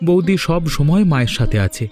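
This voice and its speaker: A man's voice: on the high side, animated, a little fast, a little mellow, faint music behind, lightly acted. A man voicing a caring young husband in a cartoon story, speaking in a reassuring way. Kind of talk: cartoon voice acting.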